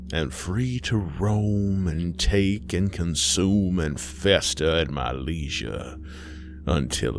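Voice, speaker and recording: A man voicing a sly, ancient female demon in a horror story, taunting and theatrical with drawn-out phrasing. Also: low voice, creaky, full character voice.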